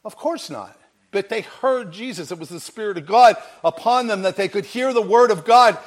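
A male pastor with an unusually high-pitched, big voice delivers a sermon. He tells the story with energy and acts out the lines people say. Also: normal speed. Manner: animated storytelling, acting out bits of dialogue.

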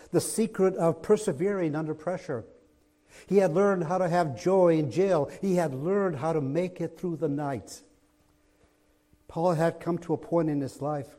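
Man, pastor, raspy, mellow, church sermon, mic, builds to emphatic preaching. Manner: builds from soft, low opening to loud emphatic preaching. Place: church sanctuary, streamed online.

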